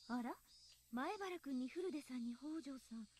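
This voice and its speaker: High-voiced, polite schoolteacher. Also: female voice, animated, brisk, darkish tone, slight background noise. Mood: polite, puzzled. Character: polite, puzzled female schoolteacher. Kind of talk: Japanese visual novel voice acting. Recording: game dialogue over faint music.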